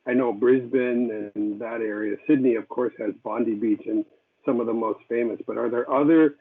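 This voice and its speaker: A man's voice: mid-range voice, fairly even intonation, normal speed. A man moderating a video call, asking a question in an inquisitive, slightly hesitant way. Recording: husky voice over a tinny phone-like line.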